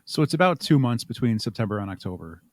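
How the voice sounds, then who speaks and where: A man's voice: mid-range voice, very animated, fast talker, dry recording. A man answering as an interview guest, talking conversationally and explaining things step by step with examples. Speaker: interview guest, audio drama creator.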